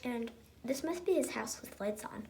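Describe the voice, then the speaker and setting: A woman's voice: a little high, lively intonation, moderate pace, vocal fry, a little mellow, a little hiss or hum. A female co-host casually commenting on a picture book in a musing, questioning way. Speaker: read-aloud co-host.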